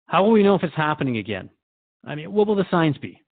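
A man's voice: on the high side, very animated, fast talker. A man playing an earnest, unsettled radio host who asks questions in a conversational, hesitant way in a radio drama. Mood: anxious, pressing. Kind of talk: radio drama dialogue in interview form. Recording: dull, lo-fi sound.

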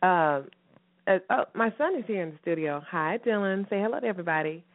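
A woman hosting a radio show in a lively, chatty way, her voice slightly hoarse and raspy from a recent cough. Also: lowish voice, brisk, a little hiss or hum. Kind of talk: casual radio chat. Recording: phone-line call-in radio.

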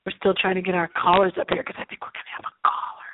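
A woman's voice: lowish voice, completely flat delivery, rapid-fire. A woman hosting a late-night spooky internet radio show, chatting live in a rambling, campy, theatrical way. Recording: tinny, phone-like internet radio line.

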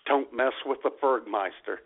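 A man's voice: medium pitch, moderate pace, creaky, slight background noise. A man co-hosting a casual internet radio show, teasing his partner like a playful sidekick. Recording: tinny phone-line internet radio audio.

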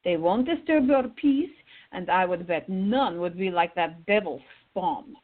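Radio play role: loyal but bossy older woman voiced by an actress, husky, very animated, forever exasperated. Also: lowish voice, a little slow, narrow, tinny audio. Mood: urging, indignant. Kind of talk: radio theater acting.

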